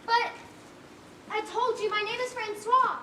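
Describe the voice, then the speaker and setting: A woman's voice: very high-pitched, steady tone, unhurried, bright, forceful tone, full character voice. A young woman playing a stubborn, grieving little foreign orphan girl on stage, earnest and defiant, with formal phrasing. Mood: puzzled, insistent. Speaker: student actor in a one-act play. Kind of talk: projected stage acting. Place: indoor stage, recorded live. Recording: echoey stage, faint background noise.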